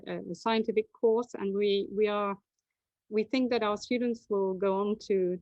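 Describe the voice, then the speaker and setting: A woman's voice: mid-range voice, darkish tone, no room sound. Woman hosting a dry, dull video call. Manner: conversational, many fillers and restarts. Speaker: admissions webinar host. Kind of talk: presenting slides and moderating.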